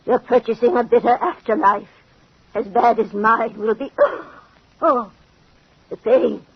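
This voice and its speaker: Husky, gasping dying fortune teller. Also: female voice, a little high, fairly even intonation. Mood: agonized, despairing. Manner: halting, theatrical, moaning. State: weak and in pain. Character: dying fortune teller, big bitter scheming woman. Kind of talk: old-time radio drama acting. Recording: muffled old radio recording.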